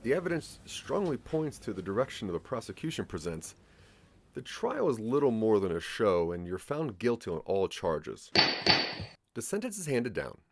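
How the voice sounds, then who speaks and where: Guy reading a dramatic audio story, lowish voice that moves around a lot, bit of noise behind him. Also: big pitch swings, normal speed, creaky. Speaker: narrator. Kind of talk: dramatic second-person scripted narration.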